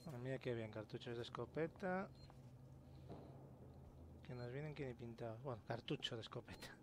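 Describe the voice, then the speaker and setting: A man's voice: medium pitch, normal speed. A man with an accent from Spain giving casual gameplay commentary, thinking aloud and swearing as he goes. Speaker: gamer narrating a let's play. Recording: clear game noise behind voice. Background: video game ambience.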